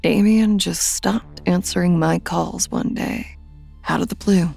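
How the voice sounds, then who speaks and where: A middle-aged woman playing a bitter, guarded widowed mother in an audio drama, curt and sardonic, with a gravelly voice. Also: fairly low pitch, steady tone, a little slow, a little mellow, soft background music, full character voice.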